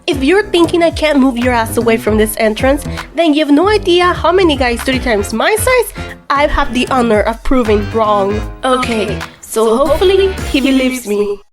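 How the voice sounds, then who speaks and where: High-voiced feisty young woman. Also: expressive, moderate pace, over music. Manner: feisty and defiant. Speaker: lead character. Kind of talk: amateur audio drama.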